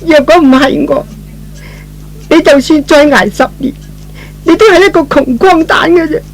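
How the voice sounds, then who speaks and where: A man's voice: extremely high pitch, moderate pace, a little hiss or hum, pitched above the performer's own voice, poor audio. A man voicing a proud young woman in love, with a haughty, cutting manner, in old-fashioned radio drama style. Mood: scornful.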